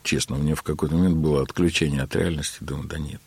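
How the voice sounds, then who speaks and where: Very deep, husky-voiced male storyteller. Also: fairly even intonation, dry recording. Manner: unhurried anecdotal telling, with many filler words. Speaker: interview guest, keyboard player. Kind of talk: storytelling in a conversational interview.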